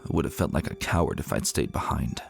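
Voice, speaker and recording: A man reading a scripted first-person story in an expressive, reflective manner, as a dramatic narrator. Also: extremely low pitch, quick pace, gravelly, faint music behind.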